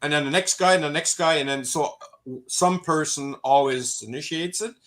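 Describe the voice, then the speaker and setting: Easygoing Canadian man with halting speech. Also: on the high side, fairly bright tone. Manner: easygoing, halting with many fillers. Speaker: podcast guest, outdoorsman. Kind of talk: casual chat.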